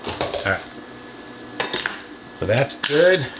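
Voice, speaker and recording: A man's voice: very slow, noisy background. Easygoing man demonstrating recipes at home. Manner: easygoing, lots of fillers, pitch jumps up when excited. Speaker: cooking show co-host. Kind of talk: casual cooking demo chat. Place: home kitchen, indoors.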